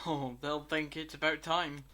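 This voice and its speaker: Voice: medium pitch, flat delivery, measured pace, sharp tone. A performer playing a knowing, self-satisfied time-travel adventurer in an audio drama, wry and assured. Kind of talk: fan-made audio drama acting.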